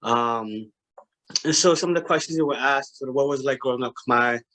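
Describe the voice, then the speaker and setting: A man in his thirties with a Boston accent, speaking earnestly as a panelist on a video call, with many pauses and restarts. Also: mid-range voice, sharp tone, low-quality recording. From Cambodia.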